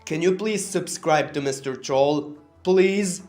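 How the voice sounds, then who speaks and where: A man's voice: on the high side, slow pace, darkish tone. A man hosting a commentary channel, narrating in a sarcastic, scornful way. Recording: roomy room, faint music behind.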